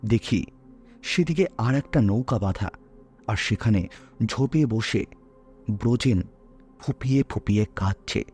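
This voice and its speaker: A man telling a scripted horror story in a dramatic, suspenseful way with deliberate pauses, as a storyteller. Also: on the low side, a little mellow, slightly rough. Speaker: storyteller on a ghost story show. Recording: music bed under the voice. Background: music.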